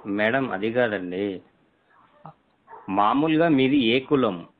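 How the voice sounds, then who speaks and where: A man's voice: lowish voice, slow pace. A man, a reporter speaking deferentially in a phone interview. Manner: deferential, repeatedly saying madam. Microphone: phone line. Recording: noisy, tinny phone call recording.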